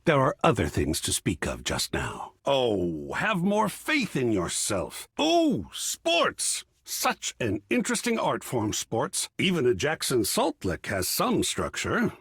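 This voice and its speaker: A man's voice: medium pitch, wildly swooping pitch, slow, hardly any pauses, fairly bright tone, husky, no echo, studio quality, exaggerated voice. A man voicing a whimsical, pretentious living artwork in a video game, with a theatrical, florid and effusive manner. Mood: exuberant delight. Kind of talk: video game character acting.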